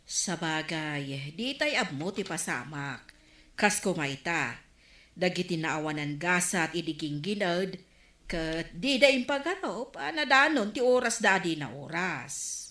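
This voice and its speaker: A woman playing an excitable village matron with an Ilocano accent in a radio comedy drama. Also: on the low side, unhurried. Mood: thoughtful. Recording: roomy, echoing studio sound.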